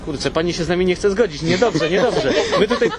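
A man's voice: high-pitched, fast talker, over a noisy crowd. A man with a Polish accent doing playful vox-pop interviews outdoors as a co-host, in a mock-serious manner. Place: outdoors on a city street. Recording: outdoor urban street recording.

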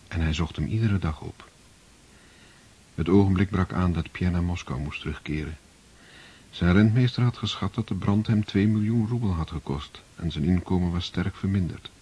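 A male narrator with a deep, very husky voice, telling the story in a steady, measured way. His tone stays even and composed. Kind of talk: scripted narration.

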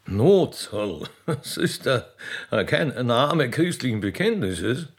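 Man acting a gravelly, lordly old Austrian emperor. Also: medium pitch, very animated, slow pace, heavily acted. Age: older. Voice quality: gravelly, husky. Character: genteel old emperor, condescending, amused and kindly. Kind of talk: radio play character acting.

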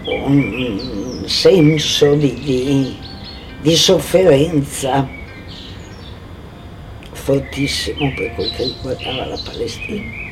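A man sharing a personal recollection in a halting, emotional, conversational way. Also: mid-range voice, expressive, over music. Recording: noisy ambience with birdsong.

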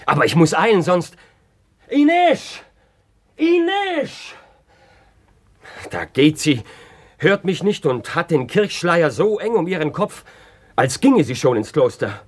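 Radio play suitor: an ardent young gentleman, lively and animated, slightly high voice. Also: slow, pauses often, full character voice. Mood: anxious, calling. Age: young adult.

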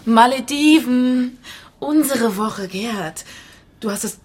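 An actress playing a secretive, long-suffering banker's wife in a radio crime drama, guarded and pointed, with a slightly husky voice. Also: female voice, on the high side, takes it slowly, darkish tone. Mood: reproachful.

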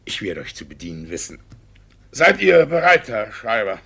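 An actor in a 1950s German radio drama playing a shifty, flustered older village judge with a husky voice and a stammering, evasive manner. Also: male voice, on the low side, very animated, moderate pace, fairly bright tone, heavily acted. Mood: flustered, obsequious. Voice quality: husky, gravelly.

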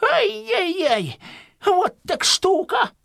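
An actor playing a stooped, folksy old peasant hunter in a children's audio play, grumbling yet kindly and muttering to himself. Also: male voice, very high-pitched, very animated, very slow, gravelly, a bit bright, dry recording, clean studio sound, exaggerated voice. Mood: astonished.

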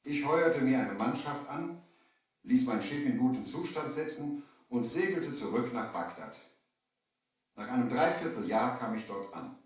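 An actor playing a merchant who narrates his sea adventure in measured storybook style, in a 1970s German audio play. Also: medium pitch, animated, natural voice. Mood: narrating. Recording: strong echo, distant from the mic.

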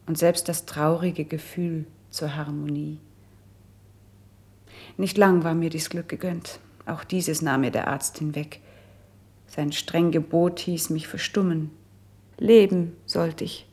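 An actress playing a melancholy, resigned young princess who has been sickly since youth, in a classical verse radio drama, with a breathy, husky, hushed and measured delivery. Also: female voice, on the low side, heavily acted.

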